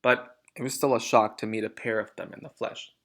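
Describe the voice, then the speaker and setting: A man's voice: on the low side, fairly even intonation, fairly quick, a bit bright. A man narrating a children's storybook as a read-aloud. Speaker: storybook reader.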